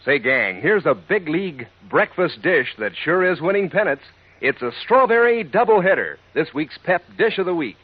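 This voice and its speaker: A man's voice: a little high, very animated, moderate pace, music in the background. A man announcing a 1940s radio advertisement with peppy, folksy salesmanship, on a tinny old recording. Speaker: commercial announcer.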